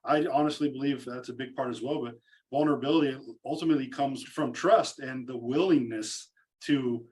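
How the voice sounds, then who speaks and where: A man's voice: mid-range voice, normal speed, a little mellow. A man hosting an interview podcast, agreeing readily and talking around his points with many restarts. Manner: agreeable, rambling with repeated restarts. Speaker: podcast host.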